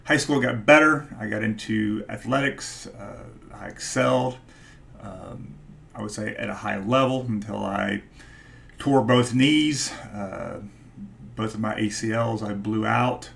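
A man in his forties with a stutter, talking casually to camera in a halting way, searching for his words. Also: on the low side, gravelly, a bit bright. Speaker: YouTube host, stock investor. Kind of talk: casual vlog talk to camera. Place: small home office, indoors.

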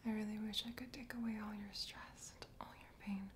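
A woman in her twenties speaking in a soft, breathy whisper, tender and comforting, close to the mic in an ASMR roleplay. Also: mid-range voice, steady tone, a little fast, a little hiss or hum. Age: 20s. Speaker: ASMR creator. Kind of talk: soft-spoken ASMR personal attention roleplay. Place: small quiet room indoors. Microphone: close to the mouth.

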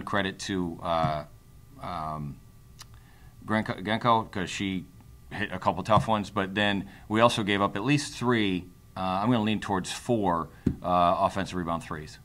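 A middle-aged man, a basketball coach, answering press conference questions in a measured, halting, analytical way. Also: low voice, fairly bright tone. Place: indoor press conference room, seated at a table. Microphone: tabletop microphone.

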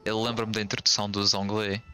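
A man's voice: on the low side, flat delivery, very fast, sharp tone, soft background music. A young man giving casual playthrough commentary as a streamer, slipping between languages with English slang. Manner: mixes Portuguese with English slang. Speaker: gaming streamer.

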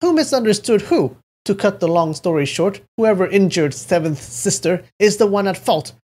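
A narrator voicing an excitable, indignant martial-arts brother in an audiobook. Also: medium pitch, normal speed, breathy edge, voice pushed up.

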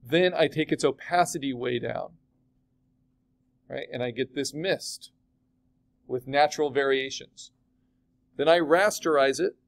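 A man teaching a digital art class, chatting casually and asking his students questions as he demos the software. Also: medium pitch, a little slow, creaky, long pauses. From California. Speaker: art instructor.

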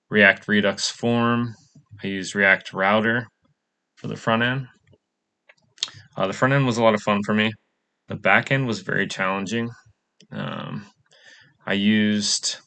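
Man, young adult, gravelly voice, crisp bright tone, casual screen-recorded demo. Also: lowish voice. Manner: casual, many "um" fillers, plain walkthrough. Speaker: coding bootcamp student presenting a project.

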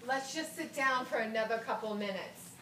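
A woman's voice: fairly high pitch, normal speed, fairly bright tone, slight background noise, close to an everyday voice. An actress playing a polite, easily embarrassed middle-aged mother on a theater stage. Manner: polite, flustered. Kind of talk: stage play acting. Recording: roomy stage sound.

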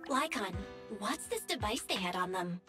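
A woman voicing an expressive, curious young heroine in a video game, with an animated, questioning manner. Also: medium pitch, normal speed, lightly acted. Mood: curious, surprised. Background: game music.

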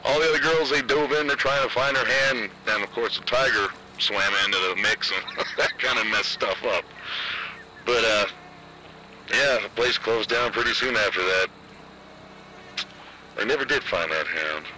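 A man playing a rambling video game guard, telling a tale in a casual, halting, deadpan way. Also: mid-range voice, background noise, natural voice. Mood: casual, amused. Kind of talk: naturalistic video game acting.

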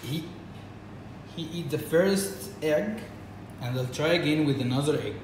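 An Egyptian man with an Arabic accent gives a casual, halting demonstration, with lots of fillers and restarts. His voice sits a bit high for a man. It is recorded on a phone in an echoey room with a faint hiss behind it. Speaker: reptile keeper. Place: indoors at a table.

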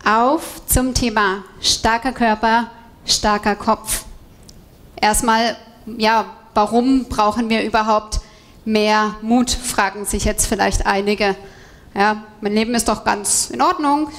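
This is a woman in her thirties with a fairly high voice. She presents as a coach at an indoor event, wearing a headset. Her delivery is that of a motivational talk, explaining things and addressing her listeners directly. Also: steady tone, measured pace. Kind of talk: motivational stage talk to an audience. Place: indoor event room with seated audience. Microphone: headset microphone.

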